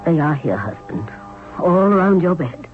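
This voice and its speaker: A woman's voice: fairly low pitch, animated, normal speed, natural voice. An actress playing a stern, dignified queen, solemn and ceremonial, in a 1970s radio drama. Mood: solemn, dutiful. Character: stern, dignified queen mother and pharaoh's wife. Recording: muffled old radio, faint music.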